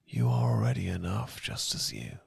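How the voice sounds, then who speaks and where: A British man speaking as a loving boyfriend in a soft, husky, often whispered ASMR style, gentle, tender and unhurried, close to the microphone. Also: low voice, big pitch swings, no room sound. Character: loving, soothing boyfriend comforting his partner. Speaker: ASMR audio performer. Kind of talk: ASMR, slow romantic guided relaxation. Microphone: close microphone, dry.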